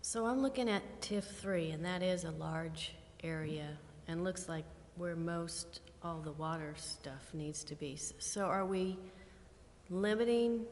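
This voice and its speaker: A woman with a fairly low voice asks questions at a public meeting. Her voice carries long room reverb, with faint noise behind it. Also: darkish tone. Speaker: committee member asking questions. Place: city council chamber.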